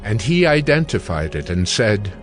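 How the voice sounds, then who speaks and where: A man narrating scripture in a measured, solemn storytelling style. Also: fairly low pitch, sing-song, soft background music. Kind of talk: scripted dramatic Bible narration.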